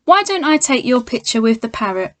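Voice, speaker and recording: A woman's voice: fairly high pitch, big pitch swings, brisk, fairly bright tone. A woman voicing a loyal, eager, outspoken boy in a children's storybook. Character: loyal, keen boy on a family outing.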